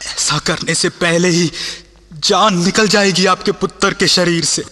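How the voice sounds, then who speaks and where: An actor playing a proud, loyal Sikh army officer in a Hindi radio play, declaiming with passion. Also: male voice, high-pitched, fairly even intonation, a little slow, pushed and bright, long reverb tail, full character voice. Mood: defiant. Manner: impassioned, declamatory, rhetorical questions.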